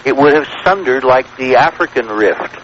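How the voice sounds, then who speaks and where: A man's voice: medium pitch, animated, moderate pace, fairly bright tone. Assertive American man on muffled old radio. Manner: assertive, lecturing, punctuates points with check-in questions. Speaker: radio show guest expounding theories. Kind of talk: radio interview, explanatory talk. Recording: noisy, dull vintage radio broadcast.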